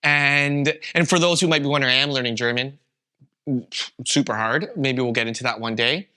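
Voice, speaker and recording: Bright-voiced Canadian man, relaxed. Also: mid-range voice, normal speed. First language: Vietnamese. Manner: relaxed, rambling, with many fillers. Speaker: podcast co-host. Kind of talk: casual chat.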